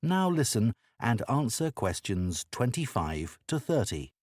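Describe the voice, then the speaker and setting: A man reading scripted exam instructions as an announcer in a formal, measured manner. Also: medium pitch, big pitch swings, darkish tone, no echo, clean studio sound.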